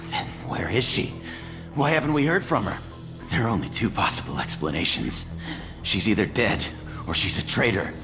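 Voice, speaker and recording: A gruff, suspicious injured tech. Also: male voice, lowish voice, very animated, moderate pace, over music. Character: wounded, bitter male station technician. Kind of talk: video game voice acting.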